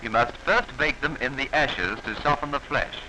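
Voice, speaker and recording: A man narrating a 1940s instructional film in a formal, instructive manner with measured diction, on an old film soundtrack. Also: mid-range voice, over loud music, poor audio.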